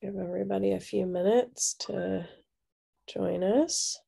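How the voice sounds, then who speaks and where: A woman hosting a webinar over a video call, her voice fading and hoarse. There's a gravelly fry under her words, and she fills gaps with casual ums. She sits at a mid pitch and talks through a call microphone. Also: a little slow, mellow. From Seattle. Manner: casual, many filler ums. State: losing her voice. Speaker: webinar host. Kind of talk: webinar hosting, housekeeping announcements.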